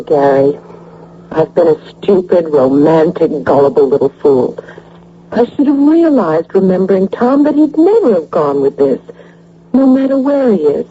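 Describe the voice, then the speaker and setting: An actress playing an earnest, rueful but brave widow in a radio drama. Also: female voice, mid-range voice, dark tone, breathy edge. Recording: dull lo-fi old radio recording.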